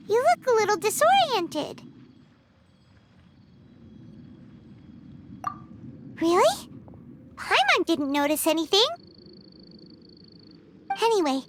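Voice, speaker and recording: A woman voicing a small, excitable, childlike fairy companion in a video game, squeaky and wide-eyed. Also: unhurried, pauses often, background noise, exaggerated voice. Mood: puzzled. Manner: exclamatory, wide-eyed, refers to self by name. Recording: music under the voice.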